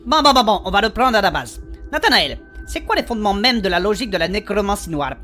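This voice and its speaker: Woman playing a lively, cheeky little man. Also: mid-range voice, quick pace, soft background music. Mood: pondering. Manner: emphatic, cheeky, reasoning aloud. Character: small, cheeky, clever male adventurer. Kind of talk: comic fantasy audio drama acting.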